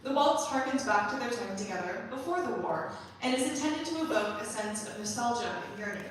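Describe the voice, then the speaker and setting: Woman, fairly high voice, prepared show introduction, explanatory storytelling, very reverberant hall. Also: expressive, a little fast. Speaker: musical writer introducing her show. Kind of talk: prepared spoken introduction to a staged reading. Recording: echoey hall from far off, faint noise.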